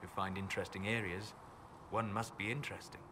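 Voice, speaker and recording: Guy playing a calm learned elf mage in a game, low measured voice, clear background ambience noise. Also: natural voice. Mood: reflective. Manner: measured, formal, thoughtful phrasing. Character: calm, learned elven apostate mage, reserved and scholarly. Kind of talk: video game dialogue acting.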